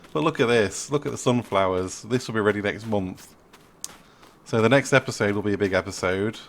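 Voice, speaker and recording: A man's voice: on the low side, normal speed, a little hiss or hum. A man with a cold and a stuffy nose, chatting casually through his farming game with lots of ums as he thinks aloud. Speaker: farming sim video maker. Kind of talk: casual gameplay commentary.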